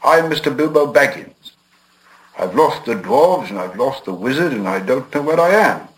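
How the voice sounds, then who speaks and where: A man's voice: mid-range voice, animated, unhurried, a bit bright, voice pushed up, low-quality recording. A man playing a frightened, polite little hobbit who speaks up nervously and earnestly. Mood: flustered. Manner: nervous, earnest, a bit raised.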